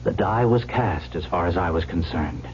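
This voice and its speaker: A man's voice: deep voice, expressive, moderate pace, darkish tone. An actor playing a brash, carefree young heir who tells his own story in a radio drama, wry and breezy. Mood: wry, excited. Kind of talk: 1970s radio drama acting with first-person narration. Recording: dull old radio recording, faint hum.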